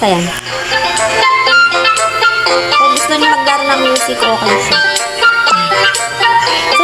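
A woman's voice: sits high, low-quality recording. A young adult woman vlogger from the Philippines, chatting casually to viewers with lots of fillers while she walks through her phone screen. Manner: chatty, filler-heavy, addresses viewers as guys. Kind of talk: casual screen-recording walkthrough.

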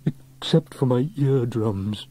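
A man's voice: fairly low pitch, expressive, normal speed, dark tone, breathy edge. A man voicing a nervous firefighter who fears heights in a children's storybook, anxious and groaning. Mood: shaken. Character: nervous, gulping male firefighter afraid of heights.

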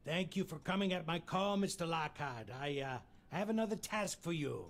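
A man's voice: a little high, expressive, normal speed. A man playing an irritable, commanding crime boss in a noir video game. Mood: businesslike.

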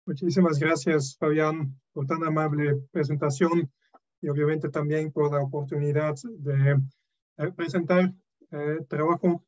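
A man's voice: fairly high pitch, darkish tone. A man in his thirties, a non-native speaker, giving an academic lecture over a video call with many hesitations and restarts. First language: not Spanish. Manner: hesitant, frequent fillers and restarts. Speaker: guest lecturer. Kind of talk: academic webinar talk. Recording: dull lo-fi video call audio.

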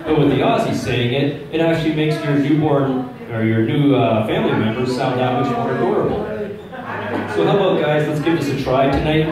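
A man's voice: on the high side, a little fast, far from the mic. A middle-aged man born in Czechoslovakia, without an accent, doing a crude stand-up set in a casual, conversational way. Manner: conversational, crude, asks the crowd "right?". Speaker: stand-up comedian. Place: small pub comedy stage with audience. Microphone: handheld mic, filmed from far back. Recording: roomy pub sound from afar. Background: loud crowd laughter.

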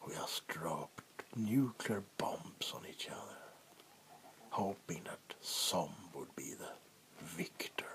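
A man playing an ancient, power-hungry vampire warlord in a soft-spoken ASMR roleplay, with a breathy whisper and a deliberate, menacing delivery. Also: rumbling low, exaggerated voice. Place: small wood-panelled room indoors.

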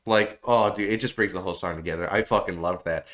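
A young man with a stuffy, nasal voice from a cold, giving excitable, swear-heavy commentary while reacting to songs in a small home room. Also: sits low, fast. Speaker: music reaction streamer. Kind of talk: casual music reaction commentary.

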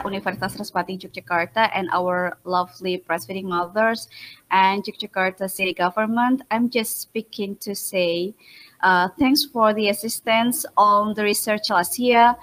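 A woman's voice: medium pitch, a bit bright, rough recording. Indonesian woman presenting over a video call. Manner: halting, with fillers and restarts, polite and grateful. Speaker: midwifery lecturer and researcher. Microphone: laptop webcam mic on a video call.

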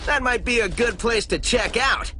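A man's voice: very high-pitched, moderate pace, bright, forceful tone, slight background noise. A man playing a cocky, upbeat young hedgehog hero in a video game, breezy and confident. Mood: casual, curious. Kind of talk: video game cutscene acting. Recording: music behind the voice.

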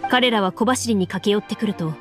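A woman narrating a story as a game master in a measured, formal manner, like scripted game voice acting. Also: medium pitch. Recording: soft music under the voice. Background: background music.

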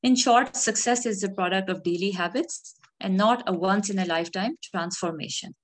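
A woman gives a sermon in a calm, earnest manner, reflective and unhurried in tone. Her voice sits at a middling pitch for a woman. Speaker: khutbah speaker. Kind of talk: measured sermon.

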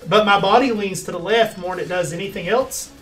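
A man's voice: sits high, fairly quick, fairly bright tone, rough recording. A man in his twenties hosting a casual gaming stream on a headset mic, joking about himself in a laid-back way. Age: 20s. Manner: laid-back, self-deprecating joker. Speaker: streamer host. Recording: faint game music behind.

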